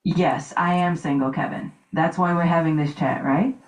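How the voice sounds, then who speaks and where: A woman's voice: sits low, fairly even intonation, normal speed, reverberant, natural voice, rough recording. A woman voicing a confident single woman in her thirties who speaks in a self-assured, upbeat way. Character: confident single woman, 32, dating show guest.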